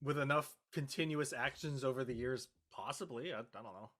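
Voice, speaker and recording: Man, casual and halting. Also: medium pitch, a bit bright. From Canada. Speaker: panelist in a post-show cast discussion. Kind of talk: casual discussion.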